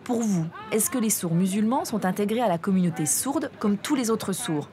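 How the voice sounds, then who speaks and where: Calm, clear woman reporter; mid voice, faint noise behind. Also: mid-range voice, moderate pace. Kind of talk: documentary narration and street interviews. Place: outdoor public event.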